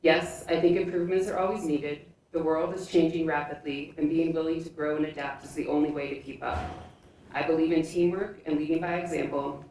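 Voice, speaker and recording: A woman's voice: low voice, fairly even intonation, a little fast, a little mellow. A woman giving a prepared campaign statement at a forum as a candidate, with formal, scripted delivery. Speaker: school board candidate. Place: indoor public forum room. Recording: echoey room from far off, faint noise.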